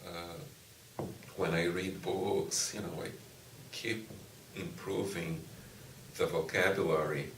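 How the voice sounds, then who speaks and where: A middle-aged man from Brazil whose first language is Portuguese, a university professor answering interview questions in a slow, thoughtful way with frequent pauses and restarts. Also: low-pitched, steady tone, vocal fry, background noise. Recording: echoey room, distant mic, faint ambience.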